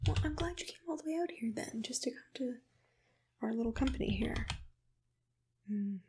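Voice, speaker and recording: A woman whispers softly and gently in an ASMR roleplay, asking questions in an attentive, breathy voice close to the microphone. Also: a little high, lively intonation, normal speed, a little hiss or hum. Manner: soft-spoken, gentle, attentive personal tone. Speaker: ASMR roleplayer playing a monster matchmaker. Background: computer keyboard typing.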